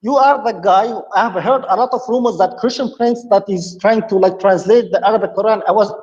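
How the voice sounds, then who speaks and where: Brief: a man with a high voice rushing his words out, stammering and repeating phrases as he argues over a lo-fi connection. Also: a little mellow, long reverb tail. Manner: stammering, repeats himself, keeps saying "you see". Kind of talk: heated online debate.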